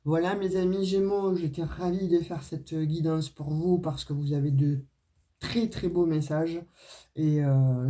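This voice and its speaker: Mellow-voiced woman doing a chatty card reading. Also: low-pitched. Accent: non-Parisian French regional accent. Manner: chatty, full of "euh" and "hein" fillers, addresses viewers directly. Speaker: tarot and oracle card reader. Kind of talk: casual spoken card reading to viewers.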